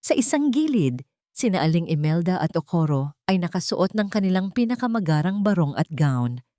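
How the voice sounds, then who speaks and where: Expressive female storyteller, lower-pitched, close and dry. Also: normal speed, dry recording. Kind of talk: dramatic scripted audio drama narration.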